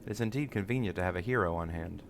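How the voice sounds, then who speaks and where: Earnest scholar-explorer for a fantasy game, played by a man: somewhat low, formal, theatrical, inquisitive. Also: very fast, slight background noise. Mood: musing. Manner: formal, musing, theatrical phrasing. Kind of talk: read-aloud fantasy game dialogue.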